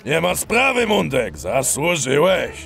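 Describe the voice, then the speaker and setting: An actor playing a bossy, sly dog police commandant in a children's audio drama, with a deliberate, hemming delivery. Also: male voice, fairly high pitch, huge pitch swings, creaky, a bit bright, faint music behind, heavily acted. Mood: authoritative. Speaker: police chief character.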